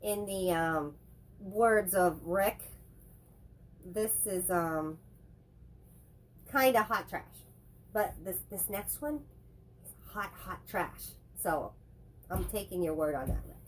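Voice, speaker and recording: A woman's voice: on the low side, poor audio. A woman with a head cold co-hosting a casual chat about movies, rambling and joking as she talks. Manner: rambling, chatty, quick to joke. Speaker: co-host.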